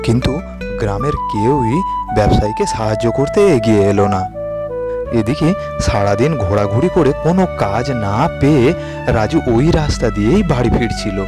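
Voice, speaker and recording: A man's voice: on the low side, expressive, moderate pace. Male storyteller over prominent music. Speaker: narrator. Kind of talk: scripted storybook narration. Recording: loud music under narration.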